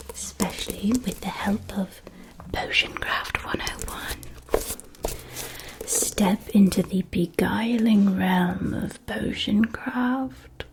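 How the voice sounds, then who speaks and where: A woman's voice: mid-range voice, a little mellow, lightly acted. A woman playing a courteous, doting shopkeeper of a witch school in an ASMR roleplay, speaking in a genteel, old-fashioned way. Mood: fond, amused.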